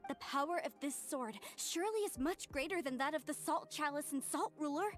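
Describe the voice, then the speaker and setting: High-voiced, zealous young woman, acted by a woman. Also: fairly quick, faint music behind, lightly acted. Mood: excited. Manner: formal, impassioned. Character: earnest, zealous young woman devoted to fallen god. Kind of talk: English game voice acting.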